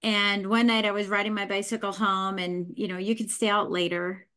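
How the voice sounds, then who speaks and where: Middle-aged woman guest spilling her story, folksy and lively, mid-pitched. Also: moderate pace. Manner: animated, folksy, mimics voices in her stories. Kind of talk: candid storytelling interview.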